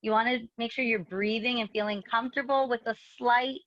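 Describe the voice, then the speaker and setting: A woman's voice: a little high, steady tone, brisk, a bit bright, no room sound. Woman coaching movement, dry dull sound. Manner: encouraging, practical coaching. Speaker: dance and fitness instructor. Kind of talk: giving movement advice. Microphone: video call.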